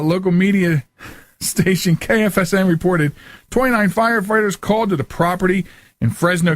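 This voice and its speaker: A man's voice: sits high, no echo. A man hosting a radio show, talking casually and informally in a folksy, conversational way as he gives his commentary.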